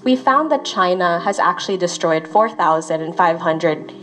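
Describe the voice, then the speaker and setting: A woman from the Philippines, a research associate, calmly explaining findings with occasional fillers. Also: lowish voice, measured pace, soft background music. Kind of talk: explanatory interview talk.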